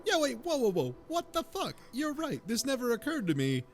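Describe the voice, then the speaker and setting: A man's voice: very high voice, huge pitch swings, normal speed. A man co-hosting a casual comedic chat, sarcastic and sweary, acting out mock dialogue. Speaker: podcast co-host. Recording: music playing behind the voice. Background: music.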